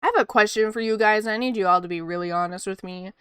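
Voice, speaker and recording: A woman's voice: mid-range voice, fast talker, a bit bright, clear, resonant tone, no room sound. Female streamer, casual rambling chat, soothing voice, dry close-mic sound. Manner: rambling and chatty, laughs often. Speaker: art streamer.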